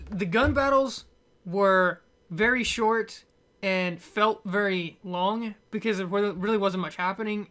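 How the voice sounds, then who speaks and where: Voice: medium pitch, a bit bright. A movie reviewer giving a casual, unscripted rant, rambling and blunt, with frequent chuckles.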